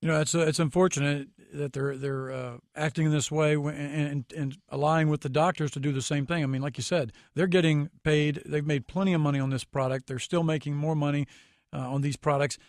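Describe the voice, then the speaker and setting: American guy as a radio guest, slightly high voice, explaining stuff critically, dry studio-tight sound. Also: steady tone, fairly quick, no echo. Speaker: guest legal commentator on radio show. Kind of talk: radio interview commentary.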